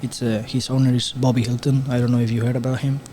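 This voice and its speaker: A man from Spain with a Spanish accent, a pool player commenting on a match as a guest in halting English. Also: medium pitch, flat delivery, background noise. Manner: halting non-native English with restarts. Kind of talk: casual live commentary.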